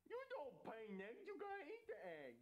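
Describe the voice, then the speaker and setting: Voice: sits high, normal speed. A performer voicing a dim-witted little boy who whines, cries and keeps asking why. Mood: blank questioning. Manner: childish, flat questions, whiny cries. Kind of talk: comedy puppet skit acting.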